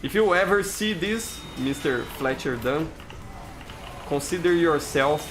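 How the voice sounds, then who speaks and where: A man's voice: on the high side, expressive, measured pace, background noise. A young man from Brazil with a Brazilian Portuguese accent, streaming live gameplay and chatting casually with his chat, full of restarts and "you know".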